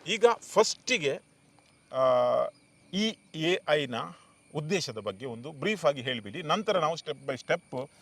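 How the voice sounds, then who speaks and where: A man's voice: fairly high pitch, big pitch swings, slow, pushed and bright. A man hosting an interview conversationally, often mixing in English words. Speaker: show host, interviewer.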